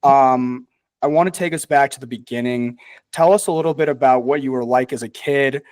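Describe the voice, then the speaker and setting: A man's voice: mid-range voice, brisk, a little mellow, dry recording. A man hosting a podcast interview in a friendly, easygoing way, with frequent ums and casual phrasing. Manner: friendly, easygoing, hesitant fillers. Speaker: podcast host.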